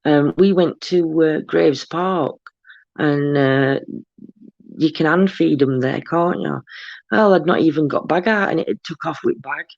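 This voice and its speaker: A man's voice: on the high side, unhurried, vocal fry, no echo. A man hosting a late-night live chat, rambling casually with lots of fillers and asides. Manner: rambling, chatty, lots of fillers and "do you know". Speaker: host. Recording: dull, dry lo-fi sound.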